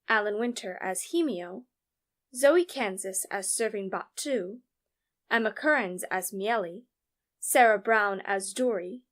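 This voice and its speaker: A woman's voice: on the high side, a bit bright. A woman announcer reading scripted podcast credits.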